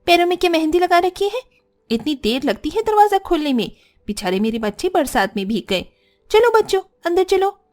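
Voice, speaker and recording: A woman's voice: very high voice, very animated, fairly quick, a little mellow, voice pushed up. A woman voicing an arrogant, bossy young married sister-in-law in a cartoon family drama, haughty and demanding. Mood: angry, haughty.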